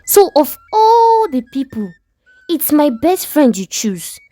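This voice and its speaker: A woman's voice: high voice, sing-song, takes it slowly, mellow, no echo, faint music behind, pitched above the performer's own voice, exaggerated voice. A woman voicing a betrayed Nigerian wife in a story, indignant, pleading and exclamatory, with a Nigerian accent. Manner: dramatic, exclamatory, questioning.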